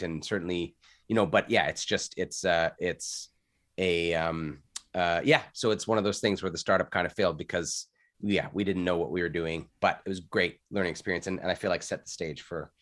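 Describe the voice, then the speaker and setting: Man, Canadian accent, lowish pitch, dry sound, casual interview guest, candid storytelling, self-interrupting. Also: fairly bright tone, no room sound. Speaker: interview guest, entrepreneur and former actor.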